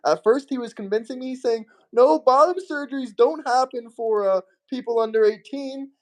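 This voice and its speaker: A man's voice: extremely high pitch, moderate pace. A man hosting a live-stream debate, blunt and profane, with a slight lisp, reading viewer messages aloud. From Florida. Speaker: streamer host.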